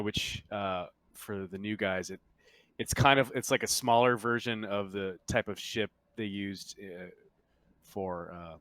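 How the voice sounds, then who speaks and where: Low-voiced man narrating a tabletop game. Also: a bit bright, no echo. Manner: halting, self-correcting delivery. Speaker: game master.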